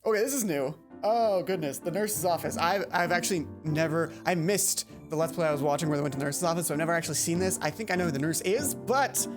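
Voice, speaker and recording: A woman's voice: fairly low pitch, quick pace, few pauses, over music. A woman hosting a let's play, reading a visual novel aloud and acting out dialogue, with casual asides.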